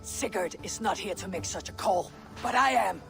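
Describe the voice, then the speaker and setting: A viking clan leader, steady and commanding, never raising her voice for long. Her words come measured and firm. Soft music sits under her in the game cutscene. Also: mid-range voice, vocal fry. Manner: measured, authoritative.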